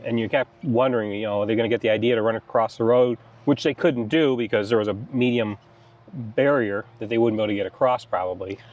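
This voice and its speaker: A man's voice: lowish voice, fast talker, a little mellow. A middle-aged man with an American accent talks in a casual, conversational way. He sounds like a travel vlog host recounting a trip outdoors, with a slightly dull recording. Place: outdoors in open air beside a car.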